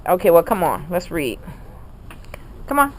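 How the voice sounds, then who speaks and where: A woman's voice: on the low side, big pitch swings, measured pace. A middle-aged or older woman, a grandmother, casually coaxing a child through reading with encouragement, exclamations and repeated prompts. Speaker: grandmother coaching a child to read.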